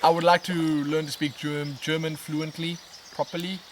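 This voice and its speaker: A man, casually answering viewer questions in a relaxed, expressive, rambling way as a vlog host. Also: fairly high pitch, normal speed. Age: 20s. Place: outdoors on a riverbank in woodland. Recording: outdoor natural background noise, birds. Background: outdoor ambience with birds.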